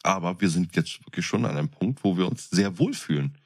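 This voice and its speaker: A man's voice: sits low, lively intonation, brisk. A man co-hosting a podcast, chatting casually in a playful, joking way. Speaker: podcast co-host. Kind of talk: casual chat.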